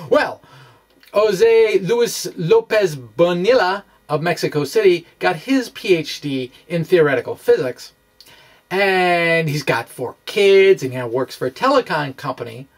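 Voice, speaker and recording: A man giving an informal explanatory physics monologue in a halting, self-deprecating, conversational way. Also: high-pitched, lively intonation, recorded from a distance. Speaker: amateur physics video blogger.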